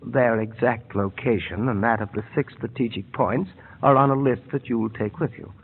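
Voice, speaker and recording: An actor playing a scheming scientist who explains things in a measured, formal way, in 1930s radio style. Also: male voice, mid-range voice, steady tone, slight background noise, natural voice. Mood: earnest, formal. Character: smooth scheming scientist posing as earnest inventor. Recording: old muffled radio broadcast.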